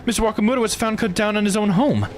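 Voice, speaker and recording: A man's voice: high voice, fast talker, slight background noise, pitched above the performer's own voice, close to an everyday voice. A man voicing an urgent, distressed young man in a visual novel game. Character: young distressed man, son of a murdered father.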